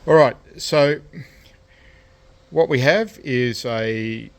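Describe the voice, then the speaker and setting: A man giving a casual, unscripted hands-on tutorial as a hobbyist, speaking hesitantly with frequent pauses. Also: medium pitch, creaky. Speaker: hobbyist presenter of a DIY electronics video. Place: indoors at a cluttered radio workbench.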